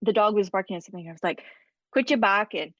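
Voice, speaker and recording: A woman's voice: medium pitch, fast talker, a bit bright, no echo. A woman with a mid-range voice chats as a podcast guest and tells stories, laughing often. The sound is dry and a little dull, as if from a modest mic. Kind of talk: casual chat.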